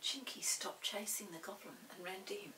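A woman in her sixties narrating a children's story expressively, in a dramatic, deliberate manner. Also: medium pitch, recorded from a distance, background noise. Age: 60s. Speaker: storybook reader. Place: small indoor set with dark backdrop.

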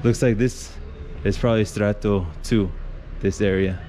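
A man's voice: fairly low pitch, a little slow. Chatty man on a noisy street. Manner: relaxed, chatty, easily impressed. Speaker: vlogger. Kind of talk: casual walking tour commentary. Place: outdoors on city streets. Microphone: action camera held at arm's length. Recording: outdoor street noise, passing cars.

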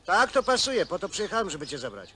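An actor playing a scheming, cajoling stout brother, colloquial and needling, in naturalistic film drama. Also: male voice, sits high, sing-song, quick pace, sharp tone, full character voice. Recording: faintly noisy old film soundtrack.

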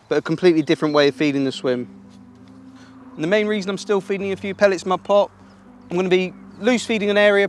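A young man coaching listeners through an angling method in a relaxed, explanatory way, speaking partly as a voice-over. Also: high-pitched, slight background noise. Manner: relaxed explanatory, practical tips. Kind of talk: instructional voice-over and commentary. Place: outdoors at a lake.

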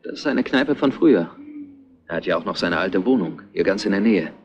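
An actor playing a matter-of-fact assistant detective in a retro crime drama, on a dull, muffled old soundtrack. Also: male voice, low-pitched, animated, a little fast.

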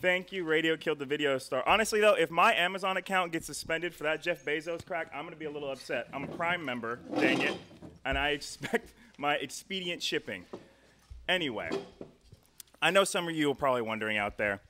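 A man's voice: fairly high pitch, a little fast, sharp tone, slight background noise. A young man hosting a live show in a chatty, rambling, jokey style into a close microphone. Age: young adult. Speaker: host. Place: indoor studio stage. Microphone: close stand microphone.

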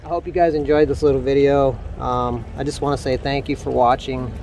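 A man's voice: medium pitch, little pitch movement, brisk, darkish tone, faint music behind. An American man in his thirties chatting casually and easygoing as a fly fishing vlogger, recorded on a phone outdoors. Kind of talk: casual outdoor vlog chat. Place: outdoors beside a small creek. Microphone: phone, handheld or nearby.

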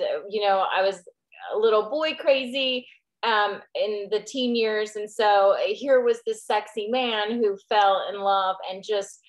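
A woman author talking in a relaxed, halting way in a casual panel over a video call from home. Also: mid-range voice. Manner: relaxed, halting, many fillers. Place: home room.

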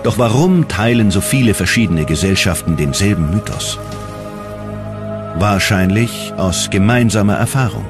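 A man narrating a scripted documentary in a dramatic, measured storytelling manner. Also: on the low side, animated, music in the background.

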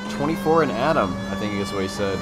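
A man's voice: lowish voice, lively intonation, fast talker. A man giving casual, slangy gameplay commentary, playful and exclaiming, with some swearing. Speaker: gamer doing a video game walkthrough. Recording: game music behind the voice. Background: game music and vehicle sounds.

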